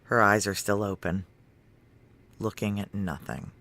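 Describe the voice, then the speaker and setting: A man's voice: on the low side, fairly even intonation, measured pace, sharp tone. A man playing a tough, controlled security chief who narrates a sci-fi noir audio drama in clipped, terse phrases. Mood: businesslike. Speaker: narrator and lead character.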